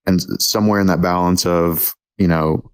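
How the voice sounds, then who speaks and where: Guest: guy in his 20s, low and monotone, casual, hesitant, lots of ums. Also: moderate pace, clear, resonant tone. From Texas. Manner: hesitant, many ums and restarts. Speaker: podcast guest. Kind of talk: casual interview chat.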